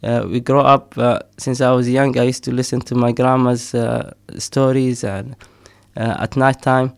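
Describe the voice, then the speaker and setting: Man, middle-aged, gravelly, dry close sound, hesitant with fillers. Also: mid-range voice, little pitch movement, a little slow, no echo. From Somaliland. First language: Somali. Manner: hesitant, many fillers, frequent laughs. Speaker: poet and storyteller. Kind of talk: casual interview talk.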